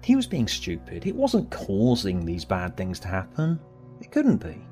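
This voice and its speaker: A man's voice: lowish voice, huge pitch swings, normal speed, mellow. A man reading a scripted story aloud as its narrator, with music behind him. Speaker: author and narrator.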